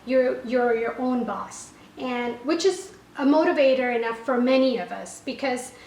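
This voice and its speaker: A woman's voice: fairly high pitch, lively intonation, normal speed, a little mellow. A woman whose first language is Gujarati, an online language teacher and business owner, giving casual advice to camera and correcting herself often. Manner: conversational, frequent "you know" and self-corrections. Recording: roomy echo, a little far from the mic.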